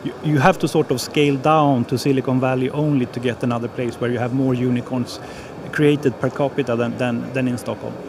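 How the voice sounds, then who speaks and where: A man's voice: medium pitch, steady tone, a little fast, noisy background. A man from Sweden speaking as a panelist on an online panel, plain and pragmatic, hesitating and repeating words.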